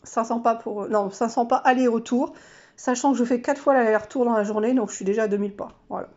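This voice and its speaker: Stuffed-up woman vlogger, nasal, mellow and slightly high, chatty and self-deprecating, dull lo-fi sound. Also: steady tone, fast. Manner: chatty, self-mocking, trails off with fillers. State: stuffy nose from a cold. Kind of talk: casual monthly challenge review.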